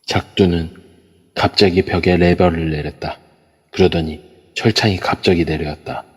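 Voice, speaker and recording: A man narrating an audio drama in a steady, measured way. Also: low-pitched, slightly rough. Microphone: phone via a voice chat app. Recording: long reverb.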